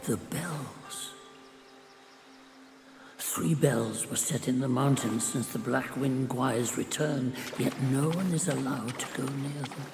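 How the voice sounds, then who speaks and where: A man playing a watchful elderly guide in a video game, giving cautious, deliberate counsel in a reverberant sound with music behind. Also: medium pitch, creaky. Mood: wary, advising. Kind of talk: video game cutscene acting.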